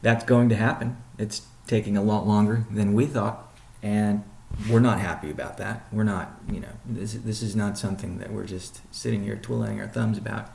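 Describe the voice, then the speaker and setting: Man, lowish creaky voice, echoey room, company boss briefing on a conference call, measured and explanatory. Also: steady tone, mellow, slight background noise. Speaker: company CEO hosting a weekly update call. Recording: roomy, echoing sound.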